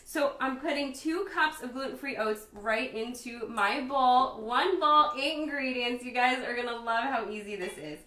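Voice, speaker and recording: A slightly hoarse woman hosting a casual cooking demonstration, chatty and upbeat as she talks straight to viewers. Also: sits high, moderate pace, few pauses, bright, forceful tone. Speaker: cooking show host and chef. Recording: roomy echo, distant microphone.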